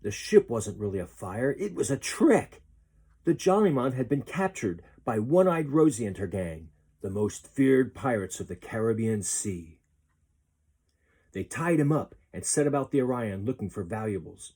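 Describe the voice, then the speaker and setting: A man reading a children's story aloud as a storyteller, expressive and deliberate. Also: on the low side, breathy edge.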